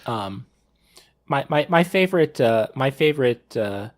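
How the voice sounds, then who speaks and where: A man's voice: medium pitch, animated, a little slow. A thirty-year-old man talking casually on a panel discussion, rambling as he repeats and rephrases himself. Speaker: podcast panelist.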